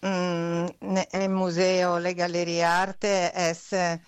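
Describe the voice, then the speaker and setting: A woman's voice: fairly low pitch, little pitch movement, takes it slowly, smooth, dry recording. An Italian woman, a guest artist, speaking Spanish as a second language in a casual interview, hesitant and searching for words. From Italy.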